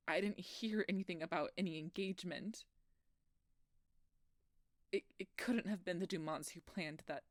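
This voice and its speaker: A woman playing a frightened, guilt-ridden changeling fugitive in an intimate fantasy audio roleplay, with a halting, emotional delivery. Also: fairly low pitch, no room sound, clean studio sound, lightly acted. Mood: urgent, dawning hope.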